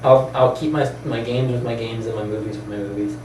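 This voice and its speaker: A man's voice: medium pitch, steady tone, a little fast, darkish tone. Echoey, distant-miked man chatting casually. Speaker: host of a video channel about films and games. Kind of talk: casual chat. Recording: roomy echo, distant mic, faint noise.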